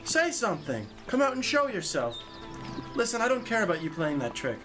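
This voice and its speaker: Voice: medium pitch, big pitch swings, a little fast, over music, natural voice. An actor playing an earnest, impulsive young male knight in an audio drama, exclamatory and courtly in phrasing. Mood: indignant.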